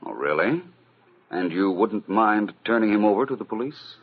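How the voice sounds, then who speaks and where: An actor playing an urbane, dry and politely probing detective with a husky voice in an old-time radio mystery. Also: male voice, fairly low pitch, animated, normal speed. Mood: wry, skeptical. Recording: muffled vintage radio recording.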